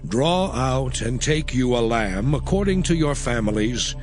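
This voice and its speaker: An actor playing a solemn, authoritative lawgiver prophet, speaking in a measured, declamatory way in a dramatized scripture reading. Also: male voice, mid-range voice, lively intonation, lightly acted. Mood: solemn, commanding. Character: solemn, authoritative male lawgiver prophet instructing his people. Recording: music bed behind the voice.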